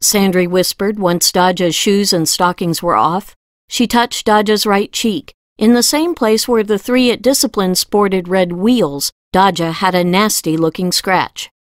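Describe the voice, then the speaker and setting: A woman's voice: mid-range voice, measured pace, dry recording, clean studio sound. A woman reading scripted narration from a fantasy novel as an audiobook narrator.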